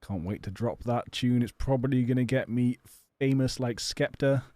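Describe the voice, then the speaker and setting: A man's voice: medium pitch, moderate pace, mellow, dry recording. A British man giving casual, rambling live game commentary as an esports caster, chatty and a little hesitant. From England. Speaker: esports caster and stream host. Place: home or personal studio.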